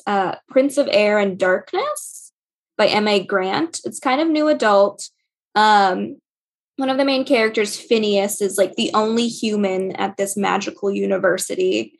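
A woman with a mid-range voice chats informally as a podcast host. She speaks in a casual, slightly halting way, as in a relaxed discussion of books. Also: mid-range voice, gravelly. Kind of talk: casual book chat.

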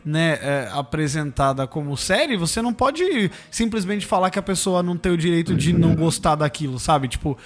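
High-voiced young man, São slang. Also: fairly quick, few pauses. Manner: slangy, animated, mimics people. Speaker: podcast co-host. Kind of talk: casual chat.

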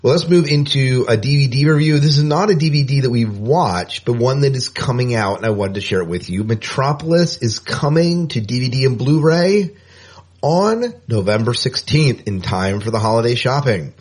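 A man's voice: mid-range voice, lively intonation, normal speed, a little mellow, slightly rough. A man co-hosting a podcast in casual chat, often repeating phrases for emphasis. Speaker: podcast co-host.

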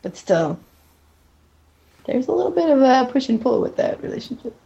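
A woman's voice: high-pitched, big pitch swings, normal speed, vocal fry, dark tone, dull audio. A woman in casual conversation as a co-host of a TV recap podcast.

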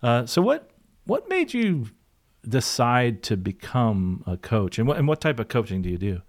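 A man's voice: medium pitch, big pitch swings, normal speed. A man hosting a podcast in an upbeat, affirming, conversational way. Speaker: podcast host, pilot and career coach. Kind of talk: conversational interviewing.

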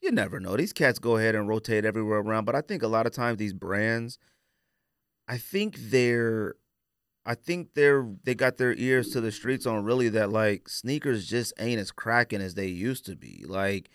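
A man's voice: lowish voice, expressive, normal speed, clean tone, no echo, clean studio sound. A man chatting casually as a podcast co-host, rambling candidly and using plenty of slang.

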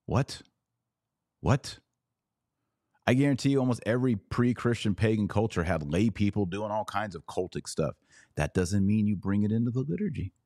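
A man with a very low voice hosts a casual podcast. He talks in a sarcastic, animated way. The sound is dry and close, with no room in it. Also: no echo. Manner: animated, sarcastic, jumps to high incredulous exclamations; slow deliberate Latin prayer recitation. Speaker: podcast host.

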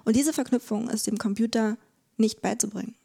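A woman speaking as an expert guest in a conversational interview, explaining things haltingly and repeating words as she thinks. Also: fairly high pitch, vocal fry, dark tone. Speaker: podcast guest, legal expert.

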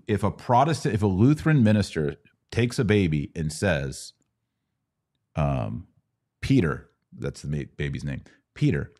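A man's voice: deep voice, darkish tone. A middle-aged American man hosting a conversational Q&A podcast, explaining things didactically and checking in with rhetorical questions. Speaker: podcast host answering religious questions. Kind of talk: conversational Q&A teaching.

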